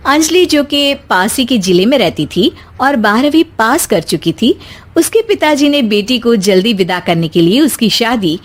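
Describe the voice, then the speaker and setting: A woman narrating a scripted moral bedtime story. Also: fairly high pitch.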